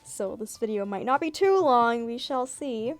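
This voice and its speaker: A woman giving a casual dramatic reading with chatty commentary, animated and giggly as the comic's creator. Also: on the high side, normal speed, soft background music. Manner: giggly, animated, self-amused. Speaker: webcomic creator reading their own comic.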